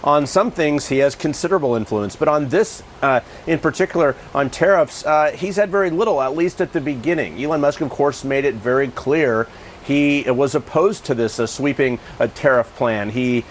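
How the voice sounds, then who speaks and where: A man with an American accent delivers a live television news report as a correspondent. His voice is medium in pitch, with faint traffic and ambient noise behind it. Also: normal speed.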